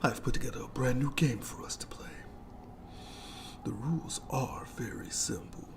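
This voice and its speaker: A man's voice: mid-range voice, normal speed, close to an everyday voice. A man voicing a sadistic, playful monster in a horror ASMR roleplay, whispering with taunting mock courtesy. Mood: mock-courteous, tempting. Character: sadistic, playful, menacing monster lurking in its lair.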